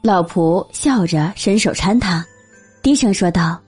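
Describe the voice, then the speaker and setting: Female narrator for serialized fiction: husky, mellow, lively intonation. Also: on the low side, a little slow, faint music behind. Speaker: audiobook narrator. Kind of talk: scripted storytelling narration.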